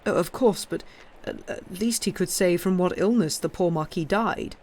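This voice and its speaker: Reader playing an anxious nobleman: urgent, stammering, a bit raised and loud. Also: mid-range voice, moderate pace, natural voice. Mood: concerned. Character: agitated nobleman, a magistrate, anxious. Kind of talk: audiobook character voice.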